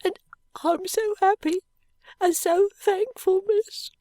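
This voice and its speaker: A woman voicing a timid little girl maid who speaks softly, politely and breathlessly, close to tears. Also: high-pitched, slow pace, no room sound, pitched above the performer's own voice, heavily acted. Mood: tearful, thankful. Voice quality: soft, whispery.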